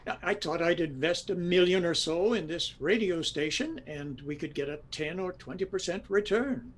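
An actor playing a placating, hapless husband who stammers, in an audio comedy. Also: male voice, high voice, moderate pace. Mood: defensive, placating. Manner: stammering, appeasing. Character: placating husband, hapless small-time investor. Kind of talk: comedy audio drama acting.

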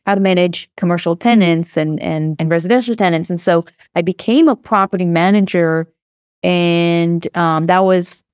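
A woman's voice: lowish voice, a little mellow, no room sound, studio quality. A woman in her thirties with an Israeli accent, talking casually as a podcast guest with many fillers and restarts. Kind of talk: podcast interview.

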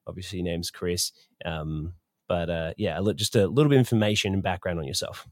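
A man's voice: low-pitched, fast, no room sound, studio quality. A young adult man hosting a podcast, asking friendly questions that are full of uhs and ums. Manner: friendly, filler-heavy questions. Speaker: podcast host. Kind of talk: casual podcast interview.

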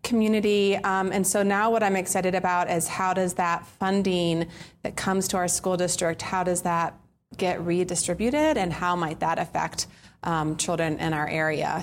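A woman's voice: lowish voice, a little hiss or hum. A woman from Colorado answering interview questions, hesitant with many fillers. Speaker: early childhood council representative.

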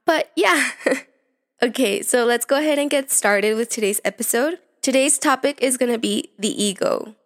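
A young woman talks through a self-help podcast in a loose, chatty way, leaning on filler words as she goes. Her voice sits a little high and carries a slight rasp from allergies. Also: moderate pace. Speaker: podcast host.

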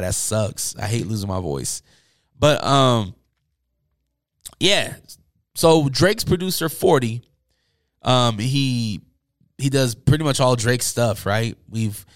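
A man's voice: mid-range voice, clean tone, no room sound. Male podcast host, informal and slangy, enthusiastic music chat, voice wearing out from overuse, close dry recording. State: losing his voice from talking all day. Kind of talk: casual music talk podcast. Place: indoors, upstairs room.

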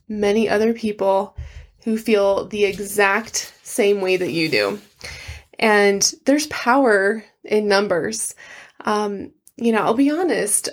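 Woman, solo podcast host, soft voice, earnest, heartfelt, lots of fillers, slightly high pitch. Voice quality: soft voice that is not loud. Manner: earnest, heartfelt, many fillers like "you know". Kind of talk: casual solo podcast talk.

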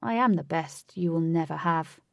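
A woman plays a cocky, muscular young man in a storybook, used to getting his way. His delivery is low and insistent, snapping with entitlement, with a creaky edge in a dry, close sound. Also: normal speed, no echo, far from the mic. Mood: angry, boastful. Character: arrogant muscular young male athlete, angry, entitled, pushy.

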